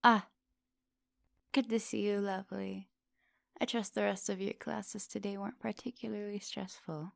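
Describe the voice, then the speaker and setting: A woman playing a coaxing, soft-dominant vampire professor in an intimate ASMR roleplay, speaking with polite, formal phrasing. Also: mid-range voice, fairly even intonation, normal speed, gravelly, pauses often, no echo. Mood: polite, explaining. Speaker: teacher.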